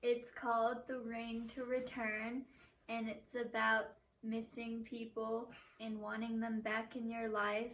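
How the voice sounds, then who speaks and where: A woman's voice: on the high side, far from the mic, slight background noise. A young woman from Portland, Oregon, chatting casually as a guest musician, self-deprecating and laughing easily. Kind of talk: casual chat. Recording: echoey room, a bit off-mic.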